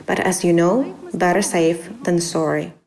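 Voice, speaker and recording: A woman's voice: lowish voice, unhurried, vocal fry, darkish tone. A woman reading a translated expert interview as a voice-over.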